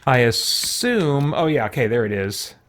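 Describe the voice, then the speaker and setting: A man's voice: mid-range voice, sing-song, unhurried, fairly bright tone. A man giving chatty, wry commentary on a game stream, sometimes reading game text aloud.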